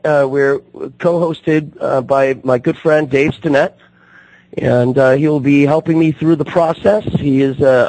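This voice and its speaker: A man hosts a radio talk show while his voice is still on the mend. His tone is gravelly and husky. He talks haltingly, with frequent fillers and restarts, at an even middle pitch. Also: dry recording, poor audio. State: recovering from losing his voice.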